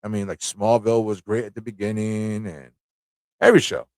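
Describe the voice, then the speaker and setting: A man's voice: lowish voice, steady tone, a little fast, a little mellow, dry recording, clean studio sound. A man hosting a livestream fan chat, talking in a rambling, informal way with frequent rhetorical tag questions. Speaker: livestream talk show host.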